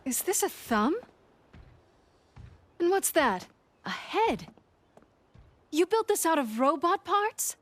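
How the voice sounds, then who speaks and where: An actress playing a brisk, curious inventor in a video game, inquisitive and matter-of-fact. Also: female voice, very high voice, sing-song, dry recording, heavily acted. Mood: incredulous. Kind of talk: animated video game voice acting.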